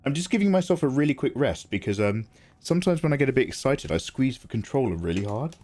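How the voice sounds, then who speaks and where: A man's voice: medium pitch, sing-song, fast talker, a little mellow. A man in his late thirties streaming a video game live, chatting casually with viewers and swearing freely. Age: 30s. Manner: chatty, frequent swearing, greets chat by name. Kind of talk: casual live-stream chat while gaming.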